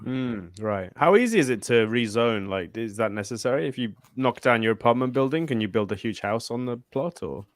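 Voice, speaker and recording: A man's voice: medium pitch, expressive, fairly quick, hardly any pauses. A man with a mid-range voice co-hosts a casual talk show and throws playful, joking questions at the guest. His voice sounds close and dry, with no room echo at all. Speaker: co-host. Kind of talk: casual interview chat.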